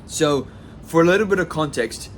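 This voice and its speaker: A man's voice: fairly high pitch, moderate pace, slight background noise. Rambling young man vlogging. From southwest France. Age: 20s. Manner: rambling, frequent "like" and restarts. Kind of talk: casual personal vlog monologue.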